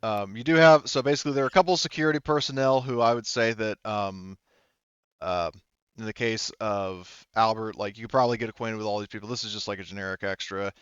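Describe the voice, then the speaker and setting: A man acting as game master for a tabletop roleplaying game, explaining rules and scenes in a halting, conversational way on a close microphone. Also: lowish voice, steady tone, a bit bright, clear, resonant tone, no echo, muffled recording.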